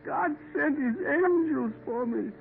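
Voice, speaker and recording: A dying old parson, fervent. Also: male voice, very high-pitched, expressive, slow pace, vocal fry, background noise, heavily acted. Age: older. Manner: fervent, pleading, feverish. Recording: tinny old radio broadcast with music.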